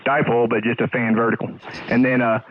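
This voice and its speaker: A man's voice: mid-range voice, level tone, fast talker, dry recording. Male ham radio caller, American, plainspoken and a little hesitant, thin band-limited radio sound. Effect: two-way radio. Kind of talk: casual ham radio chat. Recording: narrow tinny ham radio signal, static.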